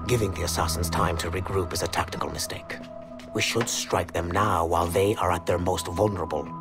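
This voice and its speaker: An actor playing a hawkish Templar enforcer who speaks bluntly and formally. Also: male voice, low voice, fairly even intonation, a little fast. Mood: stern, insistent. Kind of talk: scripted game dialogue. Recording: loud music behind the voice.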